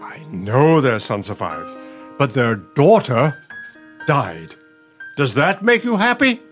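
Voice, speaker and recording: An actor playing a smug, exasperated but caring elderly husband, a retired dean, in a radio play, speaking in a dry, testy way. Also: male voice, mid-range voice, big pitch swings, slow pace, soft background music. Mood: pained, exasperated.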